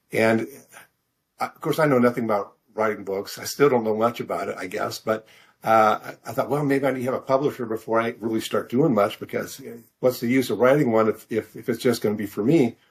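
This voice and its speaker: A middle-aged man speaking casually as an interview guest, hesitant and self-deprecating, often repeating words as he searches for the next one. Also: on the low side. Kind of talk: casual conversational storytelling.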